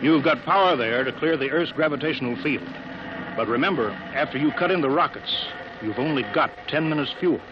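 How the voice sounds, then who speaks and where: An actor playing a serious, cautious American rocket project chief in a 1950s radio drama. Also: male voice, fairly high pitch, a little fast, lightly acted. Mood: firm, insistent. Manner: serious, insistent, businesslike. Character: earnest, worried male rocket project chief, firm and cautious. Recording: muffled, noisy vintage radio recording.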